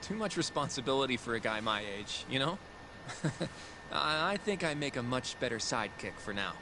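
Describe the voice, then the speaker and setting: A man's voice: medium pitch, big pitch swings, moderate pace, noisy background. An actor playing an earnest, modest young man in a game, polite and a little hesitant. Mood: modest, easygoing. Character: earnest, modest young male sidekick in a law office.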